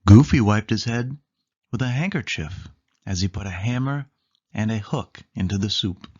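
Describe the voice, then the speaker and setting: A man's voice: lowish voice, lively intonation, normal speed, darkish tone, lo-fi sound. A man narrating a children's picture book aloud, leaning emphatically on key words. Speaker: storybook reader.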